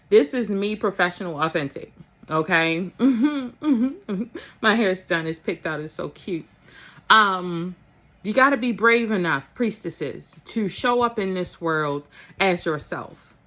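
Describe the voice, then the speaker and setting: A woman's voice: lowish voice, fairly bright tone. A woman speaking as a spiritual coach in a casual, motivational talk to her followers, informal and emphatic, often checking in with her listeners. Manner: informal, emphatic, addresses listeners with "okay" and "honey".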